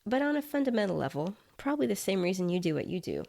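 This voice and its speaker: A woman's voice: medium pitch, big pitch swings, fast talker, creaky, mellow, voice pushed up. A woman voicing a disembodied writer in a short story, uneasy and curious, with a hesitant, polite, questioning manner. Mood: thoughtful. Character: uneasy, curious disembodied writer floating outside own body.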